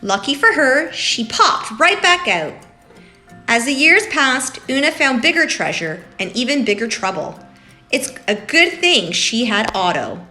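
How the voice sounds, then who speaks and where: A woman hosting story time for young children, talking and reading aloud in an animated way, addressing them as friends. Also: high-pitched, unhurried. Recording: roomy echo, faint music.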